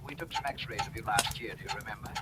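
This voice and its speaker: An actor in 1940s radio drama playing a flustered, apologetic laboratory clerk who stammers over the phone. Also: male voice, lowish voice, fast talker, natural voice. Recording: noisy old radio broadcast.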